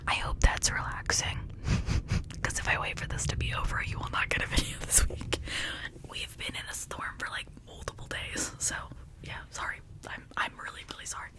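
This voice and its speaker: A woman speaking in a breathy whisper, rambling informally in a chatty ASMR style. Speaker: ASMR creator showing and unboxing toys.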